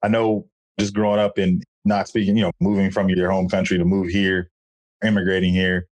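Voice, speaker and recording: A man's voice: low-pitched, level tone, a little fast, a little mellow, no room sound. A man hosting an interview podcast, chatting in an upbeat, friendly and loose way. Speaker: podcast host. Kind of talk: casual interview chat.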